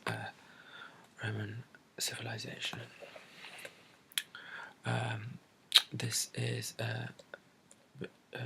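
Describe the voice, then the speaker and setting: A soft-spoken British man in a breathy ASMR bookshop roleplay, describing books in a hesitant, rambling way and repeating his phrases. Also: lowish voice, a little hiss or hum. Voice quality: breathy, soft, often dropping to a whisper. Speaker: ASMR creator playing a bookshop assistant.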